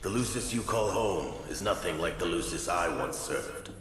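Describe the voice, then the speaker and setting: An actor playing a scornful ancient warrior spirit with a deep, contemptuous voice, heard from far off in an echoing hall. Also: male voice, lively intonation, normal speed, fairly bright tone, slight background noise. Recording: echoey far-off hall with music.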